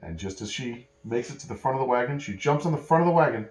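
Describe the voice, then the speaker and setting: A man improvising a bedtime story as narrator, pausing and correcting himself as he goes. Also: medium pitch, lively intonation, darkish tone. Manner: pauses and self-corrections while telling. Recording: echoey room.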